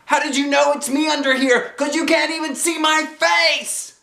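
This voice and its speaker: A man voicing an excitable, indignant kindergarten girl in a children's storybook, with childish, blurting, emphatic delivery. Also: very high-pitched, moderate pace, creaky, roomy sound, voice pushed up, exaggerated voice. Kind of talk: children's storybook read aloud.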